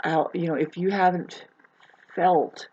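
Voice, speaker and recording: Husky-voiced woman, rambling and halting. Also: fairly low pitch, steady tone, mellow, highs cut off. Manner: meandering, halting, trailing off mid-thought. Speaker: vlogger. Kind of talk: rambling spoken monologue, casual chat.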